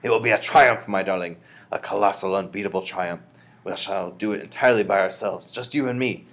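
A man's voice: deep voice, fairly quick, fairly bright tone. A man voicing a feisty, bold old grandmother in a storybook, emphatic and commanding. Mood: grandly determined.

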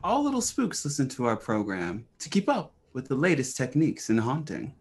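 A man's voice: mid-range voice, wildly swooping pitch, normal speed. A young man playing an eerie, teasing 1940s horror radio host with theatrical, wry storytelling. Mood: wry, spooky. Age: young adult. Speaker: radio show host and narrator.

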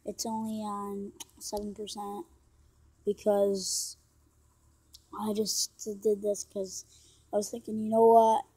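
A woman's voice: medium pitch, level tone, slow, creaky, pauses often, dark tone, slight background noise. A young female gaming YouTuber talking casually through a phone game tutorial, rambling and apologizing with lots of fillers, recorded on a phone at home. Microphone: phone microphone. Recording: game music over phone-mic voice. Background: game music.

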